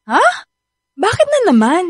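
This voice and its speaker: A woman's voice: very high-pitched, wildly swooping pitch, unhurried, no room sound, studio quality, heavily acted. An actress playing an affectionate, easily hurt young girlfriend in a radio drama, with a Filipino accent and a playful, coaxing delivery. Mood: let down.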